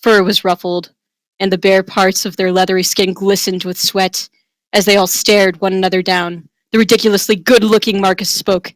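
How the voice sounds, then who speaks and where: A woman's voice: medium pitch, level tone, moderate pace, no room sound. A woman giving a theatrical, tongue-in-cheek dramatic reading of a fantasy romance. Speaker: reader.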